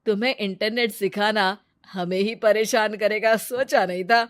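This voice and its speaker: A woman voicing a reluctant, mild-mannered young grandson who protests gently in a family story. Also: medium pitch, fairly even intonation, normal speed, lightly acted. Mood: gently teasing.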